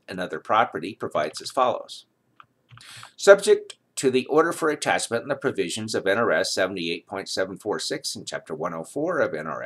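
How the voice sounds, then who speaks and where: A man in his sixties reading formal legal text aloud, enunciating numbers and citations deliberately. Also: medium pitch, fairly bright tone. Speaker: reader of his own legal reference book. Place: home study lined with bookshelves, indoors.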